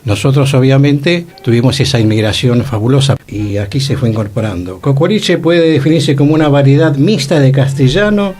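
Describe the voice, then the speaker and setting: A man with a Rioplatense Argentine accent hosts an informative radio talk in a mid-pitched voice. His wording is scholarly and didactic, and music plays behind him. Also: normal speed, few pauses, darkish tone. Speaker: radio show host.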